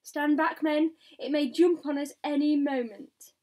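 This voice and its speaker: A storybook police chief, acted out by a woman, loud and commanding. He blusters and shouts in alarm, pushing his voice up with excitable authority. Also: fairly high pitch, takes it slowly, full character voice.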